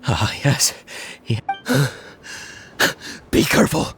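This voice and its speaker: A man's voice: medium pitch, very animated, takes it slowly, fairly bright tone, soft background music. An actor playing a frightened, paranoid young man in a video game, stammering and jittery. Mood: panicked, suspicious. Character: frightened, paranoid young male herb picker.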